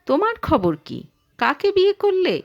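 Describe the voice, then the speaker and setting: Woman acting a high-voiced proud young wife. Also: huge pitch swings, unhurried, gravelly, highs cut off, full character voice. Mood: cheerful, curious.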